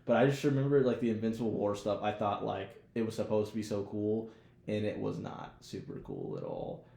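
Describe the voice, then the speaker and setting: A man chats casually as a podcast co-host, loose and slangy with some swearing. His voice is fairly low and mellow, with a lot of fry. The room around him is audibly echoey. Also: big pitch swings, fairly quick. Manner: slangy, profane, informal. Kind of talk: casual chat, TV show discussion.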